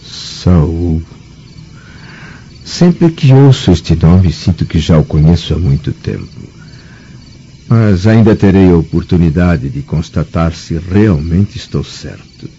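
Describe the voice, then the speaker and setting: An actor playing a grave, nostalgic Roman senator in a formal, solemn radio drama style. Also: male voice, sits low, lively intonation, slow pace, warm tone, slightly rough, full character voice. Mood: pensive. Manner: solemn, declamatory. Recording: muffled, dull radio drama recording.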